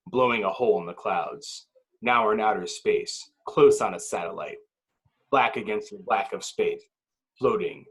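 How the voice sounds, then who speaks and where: A man's voice: lowish voice, fairly bright tone, husky. A man reading stage directions as narrator at a table read, in dramatic, clipped phrases.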